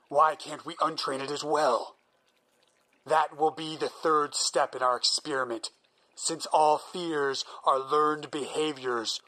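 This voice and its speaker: A man's voice: on the high side, bright, forceful tone, poor audio. An actor playing a single-minded male scientist who explains his plans in an earnest, persuasive way. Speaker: researching psychologist. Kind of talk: audio drama acting.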